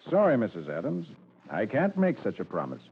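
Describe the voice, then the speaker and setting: An actor playing a cool, resolute detective in 1940s radio drama style, calm, commanding and deliberate. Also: male voice, lowish voice, breathy edge, exaggerated voice. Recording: dull, hissy old radio recording.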